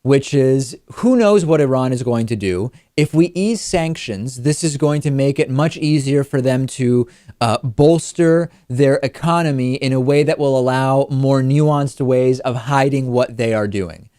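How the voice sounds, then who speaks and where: A man with a medium-pitched voice delivers political commentary as a talk show host. He explains in a measured way, qualifying as he goes. The sound is dry and close on a studio microphone. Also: warm tone, no echo. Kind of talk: news commentary and analysis.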